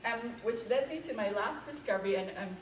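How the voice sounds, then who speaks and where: A woman's voice: a little high, fairly quick, a little hiss or hum. Woman speaker from Hawaii, casual lecture style, lots of fillers; recorded far from the mic in an echoey hall. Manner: conversational, frequent um fillers, asks audience questions. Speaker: author and speaker. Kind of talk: museum lecture to an audience. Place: museum event room.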